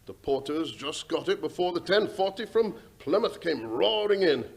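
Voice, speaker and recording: A man's voice: a little high, expressive, moderate pace, creaky, slightly rough, full character voice. A man playing a worried, folksy station master. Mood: puzzled, earnest. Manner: hesitant, folksy, respectful. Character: deferential, worried working-class English railway station master. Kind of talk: old-time radio drama acting.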